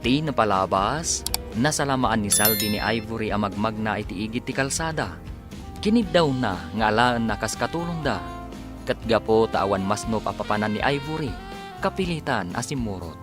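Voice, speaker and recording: A man's voice: fairly low pitch, expressive, normal speed. Ilocano male radio drama narrator over music.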